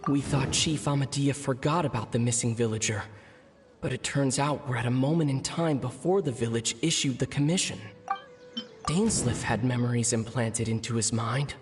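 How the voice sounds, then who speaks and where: A man voicing a calm, thoughtful young traveler in a video game, reasoning aloud in a measured way. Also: medium pitch, faint music behind, lightly acted. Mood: calm, pondering.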